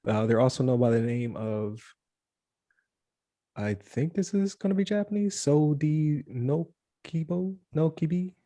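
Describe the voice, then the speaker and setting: A man's voice: fairly high pitch, animated, a little slow, mellow, no echo. A man co-hosting a casual podcast chat, joking and rambling with lots of fillers and restarts. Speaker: podcast co-host.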